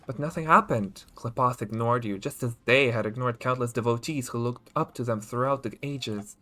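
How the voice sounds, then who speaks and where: A man's voice: mid-range voice, moderate pace, a bit bright. A male streamer reading game story text aloud, with casual asides.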